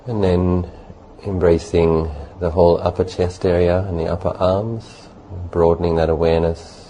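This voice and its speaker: A man leading a guided meditation as a teacher, speaking gently and unhurriedly in short phrases with long pauses. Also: low voice, little pitch movement, low-quality recording. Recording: faint background noise.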